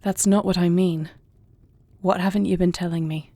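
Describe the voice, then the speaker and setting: Woman playing a soft, mellow, creaky-voiced earnest young princess. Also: fairly low pitch, fairly even intonation, normal speed, dry recording. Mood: anxious, pleading. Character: earnest young princess and warrior heir, anxious yet tender. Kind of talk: intimate audio roleplay acting.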